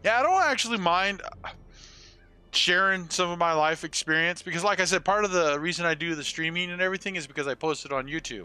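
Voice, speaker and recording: Clear, bright-voiced man in his forties streaming. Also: a little high. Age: 40s. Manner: rambling, salty swearing. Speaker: game streamer. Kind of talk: casual live-stream chat with gameplay commentary.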